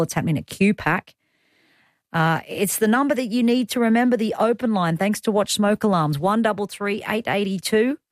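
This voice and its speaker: A woman's voice: medium pitch, no echo, clean studio sound. An Australian woman in her thirties hosting a talk radio show, chatting through the rundown with personal asides. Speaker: radio host. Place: radio studio. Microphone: studio microphone.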